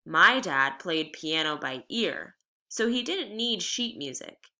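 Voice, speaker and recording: Animated woman reading a story, bright voice. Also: fairly low pitch. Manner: animated, deliberate storytelling delivery. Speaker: teacher reading aloud to students. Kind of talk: expressive read-aloud of a children's memoir.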